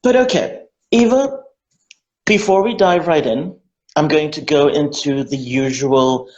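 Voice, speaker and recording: A man's voice: a little high, measured pace, darkish tone. Man, interview host, gushing and chatty, dull echoey call audio. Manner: chatty, effusive, many fillers and restarts. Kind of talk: online interview. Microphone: video call.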